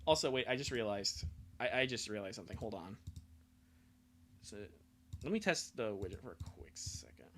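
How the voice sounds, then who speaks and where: Give a casual livestreamer's ramble with a very animated pitch. The speaker gets sidetracked easily and talks in a self-deprecating, off-the-cuff way. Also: moderate pace, long pauses, a little hiss or hum.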